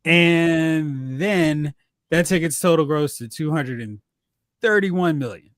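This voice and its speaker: A man's voice: a little high, takes it slowly, no room sound. A man hosting a movie talk show, chatting casually and jokingly about films and recapping news.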